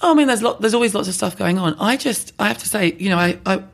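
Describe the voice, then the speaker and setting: A husky-voiced woman speaking bluntly and animatedly as a podcast guest in a candid conversation. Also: mid-range voice, fast talker. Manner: blunt, animated, self-deprecating. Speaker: skincare blogger guest.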